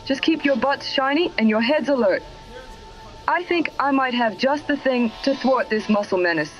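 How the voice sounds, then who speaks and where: A woman's voice: sits high, lively intonation, normal speed. A woman playing a defiant, scheming den mother of a nightclub in a parody radio drama. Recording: muffled old-radio sound with music.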